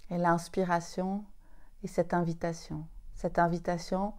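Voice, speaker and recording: Very slow, soft-toned woman guiding meditation. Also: fairly low pitch, little pitch movement. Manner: soothing, unhurried, with long pauses between short phrases. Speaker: yoga nidra teacher. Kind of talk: guided meditation.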